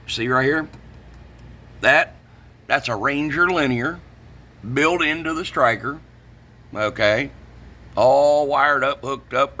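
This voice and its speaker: A man's voice: medium pitch, sharp tone. A middle-aged man, ranting casually and bluntly about his trade, swearing and repeating himself for emphasis. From northern United States. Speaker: CB radio shop vlogger. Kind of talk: casual opinionated rant.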